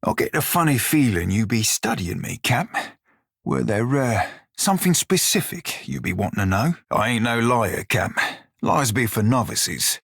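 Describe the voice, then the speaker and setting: An actor playing a cocky, bawdy furry in a video game, with a sly, wry, drawling manner. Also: male voice, medium pitch, animated, normal speed, gravelly, a bit bright, husky, dry recording, clean studio sound, exaggerated voice. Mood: teasing, cocky. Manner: salty, drawling pirate dialect, sly and wry. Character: bawdy, roguish furry orlan pirate shiphunter, loyal and cocky. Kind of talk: video game character dialogue.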